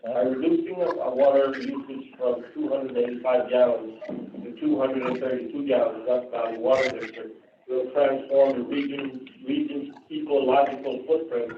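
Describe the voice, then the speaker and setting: A man with an Indian accent reading policy items at a public board meeting, often pausing with "uh", restarting words and adding casual asides. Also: medium pitch, steady tone. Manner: reads from notes with frequent "uh" and restarts, adds casual asides. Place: meeting room. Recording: distant, phone-like, roomy with faint hiss.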